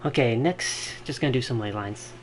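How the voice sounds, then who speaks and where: A man streaming a video game, commenting casually and thinking out loud in a rambling way. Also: mid-range voice, moderate pace, slight background noise. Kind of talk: casual live gameplay commentary. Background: video game music.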